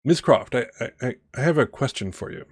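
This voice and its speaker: A man playing a courteous upper-class war veteran, a gentleman officer who speaks haltingly and formally in a tabletop game. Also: medium pitch, lively intonation, breathy edge, no room sound, clean studio sound, pitched above the performer's own voice. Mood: curious, polite.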